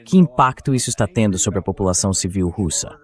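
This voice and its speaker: Low-voiced man hosting an interview. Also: expressive, fast. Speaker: interview host. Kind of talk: interview questioning, translated voice-over read by AI.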